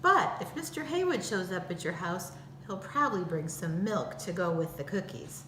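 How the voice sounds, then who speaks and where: A woman's voice: fairly low pitch, lively intonation, normal speed. American woman teaching a recipe over background noise. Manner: simple, patient explaining in plain steps. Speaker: teacher giving a cooking demonstration. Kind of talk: step-by-step recipe instruction.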